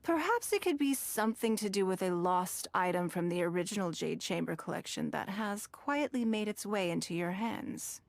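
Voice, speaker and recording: A woman playing a shrewd, wealthy, coolly commanding businesswoman in a video game, speaking in measured, eloquent, formal phrases. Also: medium pitch, expressive, dry recording, natural voice. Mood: knowing, pointed.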